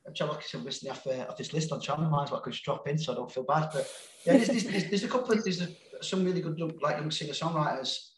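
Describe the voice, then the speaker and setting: A man with a broad Sheffield accent talks in a loose, rambling way, restarting sentences and laughing at himself. His voice sits a little high for a man, and the room gives it a noticeable echo. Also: rapid-fire, a little hiss or hum. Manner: rambling, self-deprecating, laughs a lot, many restarts. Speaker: guest, music label founder. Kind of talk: casual podcast chat.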